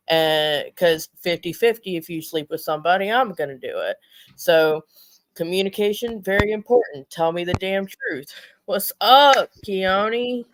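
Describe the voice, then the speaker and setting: A woman's voice: low voice, no echo. A woman chatting casually as a talk show panelist, blunt and swearing freely.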